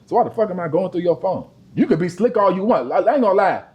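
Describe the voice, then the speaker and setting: A man, co-hosting a podcast. He tells profane stories, dropping to a low mutter and then breaking into loud outbursts. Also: lively intonation, quick pace, lo-fi sound. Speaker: podcast co-host. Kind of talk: casual chat, storytelling.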